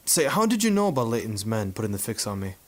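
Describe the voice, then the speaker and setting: Man acting a low-voiced, wry noir photographer-sleuth. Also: sing-song, fast talker. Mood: easygoing, wry. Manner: dry, wisecracking, hard-boiled. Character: wry, cocky male war-photographer turned detective, noir narrator. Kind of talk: 1950s noir radio drama acting and narration.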